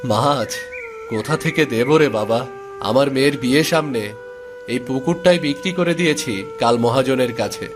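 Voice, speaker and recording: A man plays a dejected middle-aged villager in a cartoon. His voice is dropped low, weary and resigned, with music behind. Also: normal speed, natural voice. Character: downcast middle-aged village pond owner, Bhulu. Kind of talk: cartoon story voice acting.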